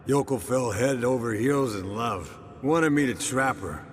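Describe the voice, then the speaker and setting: An actor playing an easygoing, rugged monster hunter in a fantasy game, speaking in clipped, colloquial phrases. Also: male voice, mid-range voice, measured pace, long reverb tail, slight background noise, lightly acted. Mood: amused storytelling.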